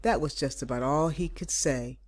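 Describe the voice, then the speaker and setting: A woman reading a children's story aloud as a teacher, with deliberate, expressive emphasis. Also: a bass voice. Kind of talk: expressive picture-book read-aloud.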